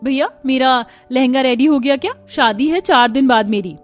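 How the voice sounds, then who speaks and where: A woman's voice: high voice, normal speed, faint music behind, lightly acted. A woman voicing an anxious, pleading young bride in a Hindi cartoon story. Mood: impatient. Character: distressed young bride, apologetic sister-in-law.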